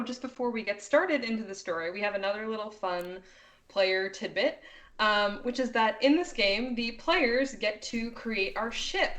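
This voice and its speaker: A woman speaking casually as a game master, chatty and quick to laugh as she guides her players. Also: mid-range voice, a bit bright. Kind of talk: casual improvised game hosting.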